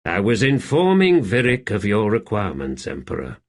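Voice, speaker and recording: A man voicing a shrewd slave in an audiobook, calm, deferential and measured, speaking quietly. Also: on the low side. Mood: meek. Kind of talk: audiobook character acting.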